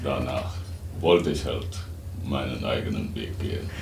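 A middle-aged man from Senegal, talking casually and reflectively as an interview guest, halting now and then. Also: rumbling low, gravelly. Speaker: interview guest, writer and German teacher. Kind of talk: casual, unscripted interview talk. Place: radio studio. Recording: roomy, distant mic, faint noise.

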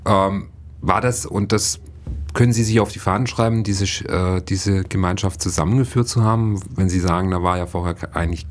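A male interviewer with a fairly low voice asks polite follow-up questions. He often hedges with "äh" and "ähm" as he works toward each question. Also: steady tone, brisk. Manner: polite, probing questions with fillers.

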